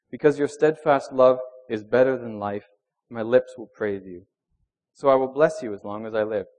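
Man preaching in a relaxed, chatty way. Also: medium pitch, lo-fi sound. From Venezuela. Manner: conversational preaching with fillers, reads scripture with rising emphasis. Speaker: pastor. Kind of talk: sermon.

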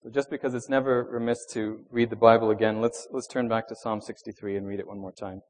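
A man's voice: lowish voice, level tone, fast talker, darkish tone. A young man who grew up in Venezuela, preaching a sermon in a conversational way with ums and uhs, reading scripture with extra emphasis. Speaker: pastor.

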